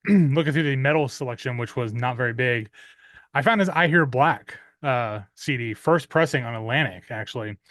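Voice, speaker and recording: Male in his thirties, average pitch, casual rambling record-haul talker, recorded dry and close. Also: a bit bright, dry recording. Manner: rambling with many "uh" fillers, clears throat often. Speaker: metal music vlogger. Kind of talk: casual unboxing chat.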